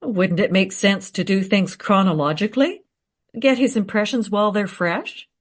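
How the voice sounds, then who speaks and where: An actress playing a cautious, sensible time traveller in conversational radio play dialogue. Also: female voice, medium pitch, moderate pace, close to an everyday voice. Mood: reasoning, critical.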